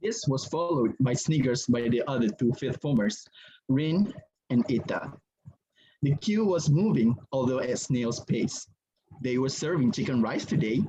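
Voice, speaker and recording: A man reads a story aloud as a dramatic narrator. His voice sits at a medium pitch, and the recording is dull, with some background noise. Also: mellow.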